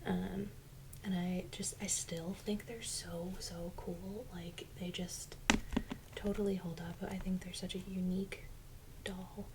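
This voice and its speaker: A woman doing soft-spoken ASMR in a breathy near-whisper, with a casual, gentle, musing manner. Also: lowish voice, little pitch movement, slow, background noise. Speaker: ASMR video host.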